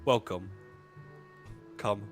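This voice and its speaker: A man's voice: drawn-out words, soft background music. Man reading as a matter-of-fact male schoolteacher. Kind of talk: reading visual novel dialogue aloud.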